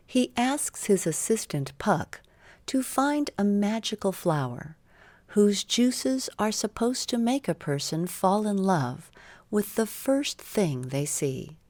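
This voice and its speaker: A woman narrating a scripted bedtime story for children in a measured, simple storytelling way. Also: on the low side, darkish tone, dry recording.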